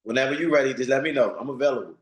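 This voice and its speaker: A man's voice: mid-range voice, very fast, reverberant, rough recording. A man of forty, a retired boxer, giving blunt, streetwise interview answers and repeating himself for emphasis. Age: 40s.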